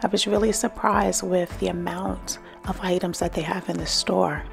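A middle-aged woman narrating a shop-with-me vlog about home decor, enthusiastic and gushing over finds, sometimes dropping to a soft whisper. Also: fairly low pitch, steady tone, normal speed, vocal fry, over music. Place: in a car, then walking through large stores.

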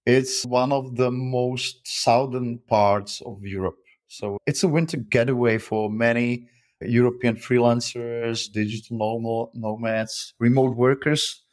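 A man's voice: mid-range voice, fairly even intonation, measured pace, a little mellow. Friendly man, chatty. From Czech Republic. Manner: friendly, talkative, with frequent uh fillers and restarts. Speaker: guest, author and freelancer. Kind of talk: interview conversation.

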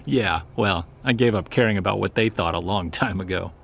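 A man acting a seasoned, driven investigator in an audio drama, earnest and measured, with a gravelly, husky voice. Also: low voice, fairly bright tone, heavily acted. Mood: reflective, resolute. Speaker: voice actor. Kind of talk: naturalistic audio drama dialogue.

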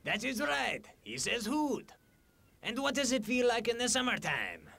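A man's voice: extremely high pitch, wildly swooping pitch, unhurried, gravelly, bright, forceful tone, exaggerated voice. A man playing a jovial, chatty talking snowdrift with an exaggerated French accent, theatrical and playful in a comic story. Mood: joking. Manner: theatrical, playful, addresses listener directly.

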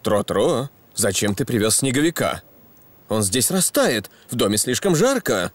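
A man voicing a patient, caring donkey father in a preschool cartoon, gently explaining things in an expressive way. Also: mid-range voice, unhurried. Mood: surprised, firm. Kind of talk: preschool cartoon dub acting.

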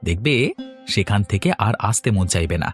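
A man's voice: fairly low pitch, expressive, fairly quick, soft background music. A man voicing a proud, earnest village farmer and husband in a cartoon, firm and persuasive. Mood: coaxing.

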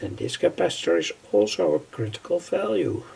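An older man giving an unscripted technical tutorial in a halting, repetitive way, piecing phrases together. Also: fairly high pitch, big pitch swings, darkish tone, low-quality recording. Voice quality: husky, gravelly. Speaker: electronics hobbyist presenter. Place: indoors at a worktable.

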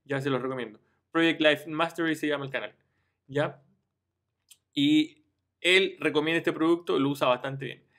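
A man's voice: a little high, steady tone, normal speed, pauses often, fairly bright tone. A man, talking viewers through a screen-share tutorial in an informal way, often checking in with "ya" and restarting his phrases. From Chile. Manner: informal, addresses viewers directly, frequent "ya" and restarts. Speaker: online business youtuber.

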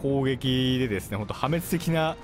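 Man giving lively-pitched, polite gameplay commentary. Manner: polite, explanatory, halting phrase by phrase. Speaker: gaming video host. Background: game music and artillery fire.

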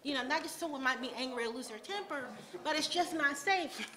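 High-voiced amused woman panelist. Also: crowd noise. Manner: animated, amused, quick anecdotes. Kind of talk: panel discussion answer. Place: lecture hall, at a panel table. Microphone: table microphone.

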